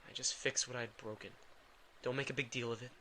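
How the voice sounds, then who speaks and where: A man playing a drained, guilt-ridden vampire in an intimate audio drama, speaking haltingly and defensively. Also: mid-range voice, steady tone, sharp tone, close to an everyday voice. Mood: subdued, guilty. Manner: halting, defensive, stammering under pressure.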